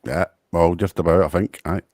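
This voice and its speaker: A man's voice: sits low, fairly even intonation, moderate pace, slightly rough, no room sound, studio quality. A man from Glasgow with a Glaswegian accent, laid-back and brief, chatting on a video call. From Glasgow, Scotland. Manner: laid-back, brief replies, laughs quietly. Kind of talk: casual chat on a video call.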